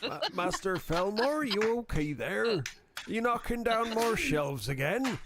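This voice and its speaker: A man's voice: high-pitched, sing-song, slow pace, background noise, pitched above the performer's own voice, full character voice. A man voicing a cheery, chatty tavern keeper who welcomes customers politely and repeats himself. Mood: cheery, welcoming.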